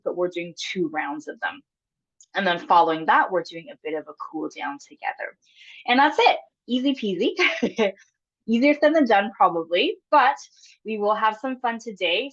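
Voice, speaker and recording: A woman's voice: mid-range voice, highs cut off. A woman with a cold, sniffling now and then, leading a live online exercise class in a friendly, encouraging, chatty way. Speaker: exercise instructor. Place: home room, video call.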